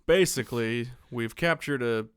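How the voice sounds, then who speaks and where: A man's voice: medium pitch, moderate pace, smooth, no room sound, clean studio sound. A man co-hosting a podcast, giving a casual, joking film recap in a rambling, loose-tongued way. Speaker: podcast co-host.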